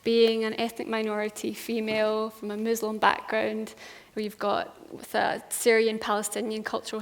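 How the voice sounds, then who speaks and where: Scottish woman panelist, high voice, very even delivery. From Scotland. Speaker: panel guest. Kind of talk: conversational panel talk. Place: conference stage.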